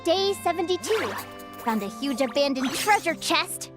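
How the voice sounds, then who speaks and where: A woman voicing a cheerful, dreamy young female adventurer in a video game, expressive and talking to herself with determination. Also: sits high, normal speed, over music. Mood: reflective, plucky. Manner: expressive, exclamatory, talks to herself.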